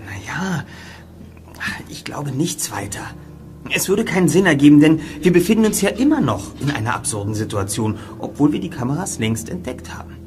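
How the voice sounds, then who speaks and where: A know-it-all teen detective. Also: male voice, fairly high pitch, big pitch swings, normal speed, darkish tone, soft background music. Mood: explaining. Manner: methodical, explanatory. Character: clever young male detective, lecturing. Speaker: lead detective. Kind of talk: radio drama acting.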